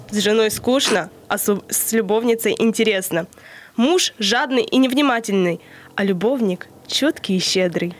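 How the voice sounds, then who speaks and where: A woman in her early twenties co-hosting a podcast, explaining a topic in a measured, lecture-like way with occasional hesitations. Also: a little high, no room sound. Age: early 20s. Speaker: podcast co-host presenting the theory segment. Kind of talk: explaining from prepared notes, then casual chat.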